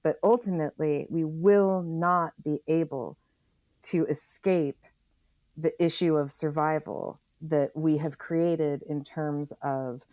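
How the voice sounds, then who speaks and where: A woman speaking as an interview guest, earnest and reflective, deliberately repeating phrases for emphasis. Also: deep voice, fairly even intonation, a little mellow, no echo. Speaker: interview guest, environmental writer.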